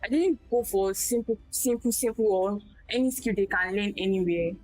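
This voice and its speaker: Soft-spoken woman, halting. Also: mid-range voice, faint music behind, poor audio. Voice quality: small, quiet voice. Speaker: guest, tech skills tutor. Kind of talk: casual conversational interview.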